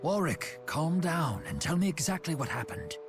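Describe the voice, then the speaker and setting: An actor playing a composed elderly statesman in a video game, with formal, genteel, measured speech. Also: fairly high pitch, big pitch swings. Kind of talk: video game cutscene acting. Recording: music behind the voice.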